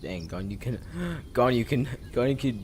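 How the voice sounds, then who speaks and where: A man's voice: mid-range voice, lively intonation, moderate pace, a crowd in the background. A young man casually commentating a live game stream, rambling and often repeating himself. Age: teenager or young adult. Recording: game music over the voice. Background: game music and sound effects.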